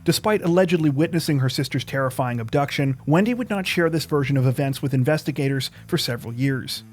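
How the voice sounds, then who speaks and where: A man reads scripted true crime narration in a measured, serious manner. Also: mid-range voice. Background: plucked guitar music.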